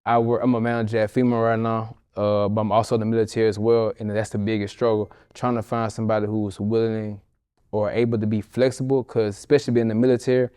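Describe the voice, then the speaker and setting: A man's voice: fairly low pitch, little pitch movement, fast, mellow, clear, resonant tone, dry recording, studio quality. A man from Baton Rouge, an interview guest speaking in an easygoing way, full of slang. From Baton Rouge, Louisiana. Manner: easygoing, talks in slang and metaphors. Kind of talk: casual interview talk.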